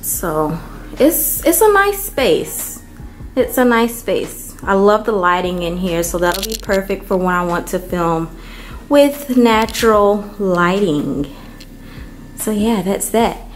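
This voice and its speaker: A woman vlogger giving a casual, unhurried walkthrough of an empty apartment, filmed on a handheld camera. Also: mid-range voice, expressive, faint music behind, rough recording. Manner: unhurried, chatty, many ums. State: hot and worn out from moving.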